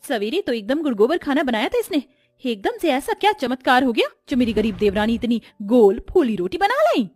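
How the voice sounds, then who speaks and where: A woman's voice: high voice, big pitch swings, a little fast, darkish tone, no room sound, clean studio sound, soft background music. A woman voicing a bossy, taunting young sister-in-law in a cartoon story, with a mocking, commanding way of talking. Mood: jealous.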